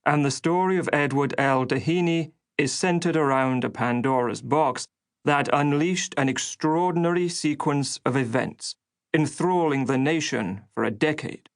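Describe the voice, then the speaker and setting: A man narrating an oral history audiobook in a scripted documentary style. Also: mid-range voice.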